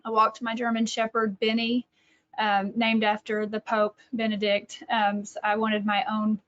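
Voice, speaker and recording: A woman's voice: medium pitch, monotone, unhurried. A middle-aged woman with a Texan accent giving an informal online talk, telling personal stories in a conversational, thinking-aloud way. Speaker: speaker, educator and author. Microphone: video call. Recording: dull lo-fi online call audio.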